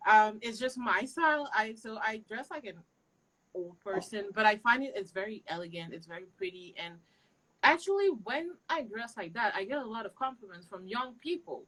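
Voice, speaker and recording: A woman's voice: a little high, animated, moderate pace, fairly bright tone, low-quality recording. A young woman from Cameroon, speaking in a casual interview as a guest and giving earnest advice with some fillers. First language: not English. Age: young adult. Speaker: guest, boutique owner.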